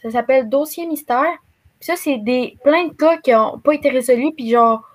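A young woman with a high-pitched voice and a Québécois French accent. She chats in a casual way as a panelist on a video call, recorded by a computer mic in a room at home. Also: normal speed. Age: young adult. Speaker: talk show panelist. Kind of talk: casual chat.

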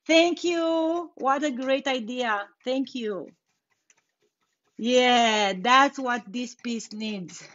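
A woman's voice: high-pitched, highs cut off. A young woman from Romania with a Romanian accent, chatting unhurriedly through a live furniture painting tutorial and laughing easily. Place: indoor workshop with bare wall.

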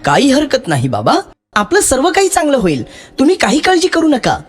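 A man's voice: extremely high pitch, wildly swooping pitch, a little fast, soft background music, pitched above the performer's own voice, full character voice. A man playing an earnest, hardworking young brother in a children's moral story, speaking emphatically. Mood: encouraging.

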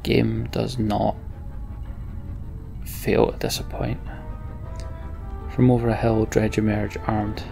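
A man's voice: on the low side, little pitch movement, takes it slowly, vocal fry, long pauses, dark tone, faint music behind. A man hosting a Let's Play, giving casual game commentary and reading the story text aloud.

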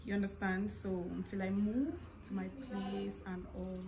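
Woman, twenties, Nigerian accent, mid-pitched voice, casual question-and-answer vlog, household noise behind. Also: level tone, moderate pace, dark tone. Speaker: vlogger. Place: living room of a family house, indoors. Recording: clear household background noise.

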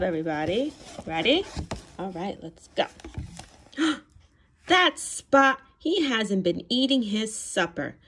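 A woman reading a picture book aloud to young children in an animated, expressive way, with gasps, questions and encouraging praise. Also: mid-range voice, slightly rough. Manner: animated, expressive, sing-song. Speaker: children's read-aloud storyteller. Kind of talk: interactive picture-book read-aloud for toddlers.

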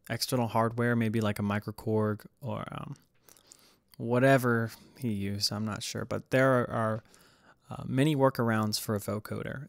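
A man hosting a casual music production tutorial, explaining in a hesitant, halting way. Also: mid-range voice, a bit bright, dry recording, studio quality. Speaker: tutorial host.

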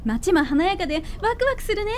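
A woman playing a cheerful, teasing young girlfriend in a radio drama, with a bright, girlish manner. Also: very high voice, big pitch swings, fairly quick, slight background noise. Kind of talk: Japanese radio drama acting.

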